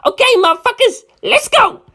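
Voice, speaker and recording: A woman voicing a brash, cocky, foul-mouthed young man who yells and jeers, in a story reading. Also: very high voice, expressive, normal speed, pitched above the performer's own voice, full character voice. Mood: rowdy. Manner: yelling, jeering, cocky.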